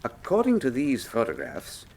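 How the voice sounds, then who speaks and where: An actor playing a brilliant, self-assured Victorian detective in a radio mystery, with a wry, precise, formal manner. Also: male voice, mid-range voice, huge pitch swings, moderate pace, darkish tone, low-quality recording. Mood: analytical. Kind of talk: full-cast radio mystery drama acting.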